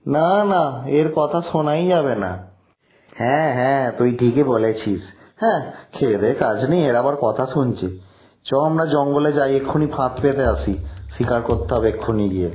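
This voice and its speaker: A man's voice: medium pitch, animated, moderate pace, darkish tone, lightly acted. A man voicing a brash village hunter in a cartoon, with a colloquial, exclamatory delivery. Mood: scornful, defiant. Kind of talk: Bengali cartoon dubbing.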